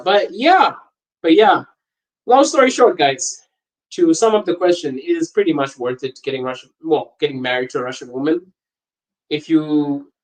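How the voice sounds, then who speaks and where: A man's voice: a little high, low-quality recording. A young man from Botswana hosting a casual livestream podcast, talking loosely, laughing often and reading viewer comments. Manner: animated, laughing often, wandering and talkative.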